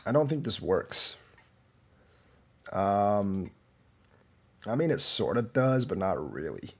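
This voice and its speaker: A man's voice: fairly low pitch, very animated, slow pace, slightly rough. Man with a slight cold, rambling. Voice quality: slightly off, congested voice. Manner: rambling, filler-heavy, critiquing moves. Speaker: go teacher streamer. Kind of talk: live game commentary.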